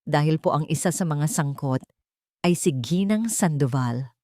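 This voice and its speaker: A woman's voice: sits low, expressive, unhurried, dark tone, dry recording, studio quality. A woman voicing a polite, formal male executive assistant in an audio story. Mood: careful.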